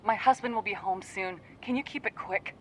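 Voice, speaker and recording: An actress playing a guarded, irritated housewife, curt and defensive with unwelcome visitors in a video game cutscene. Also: female voice, mid-range voice, normal speed, a little hiss or hum, close to an everyday voice, rough recording. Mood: annoyed, guarded. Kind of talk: English-dubbed video game cutscene acting.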